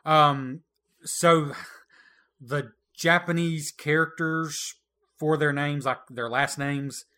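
A man's voice: on the high side, fairly even intonation, slow pace, pushed and bright. A man co-hosting a casual gaming podcast, running a trivia quiz in a playful, teasing way and laughing often. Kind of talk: casual retro gaming chat.

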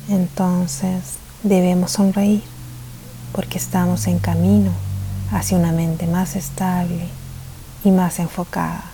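A woman leading a guided meditation, soft-spoken and soothing, with gentle pauses and a tendency to drift toward a breathy near-whisper. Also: fairly low pitch, low-quality recording. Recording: faint hiss behind the voice.

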